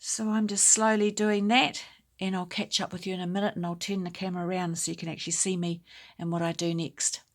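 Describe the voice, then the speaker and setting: A woman with a slightly croaky voice hosting a casual knitting tutorial at home, explaining each step in a chatty, unhurried way. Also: on the low side, level tone. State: slightly croaky voice from talking a lot. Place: indoors at home.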